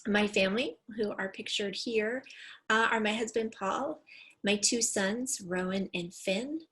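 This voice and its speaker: A woman's voice: mid-range voice, a little slow, fairly bright tone. A middle-aged woman teacher giving a prepared introduction to families, explaining things in an orderly way. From California. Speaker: English language development teacher.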